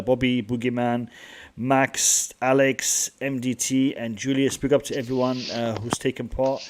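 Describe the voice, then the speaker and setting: A man's voice: medium pitch, noisy background. A man from Yorkshire hosting a casual live stream chat, who repeats himself and restarts his sentences a lot. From Yorkshire, England. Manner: repetitive, stops and restarts a lot, says "guys". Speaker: stream host.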